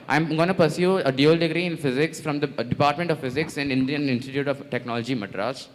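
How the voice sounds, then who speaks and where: A man's voice: a little high, long reverb tail. A young man from Bangalore, a fresher volunteering on stage, nervously repeating his intro. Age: young adult. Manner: nervous, repeating lines, halting. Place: stage in a large hall.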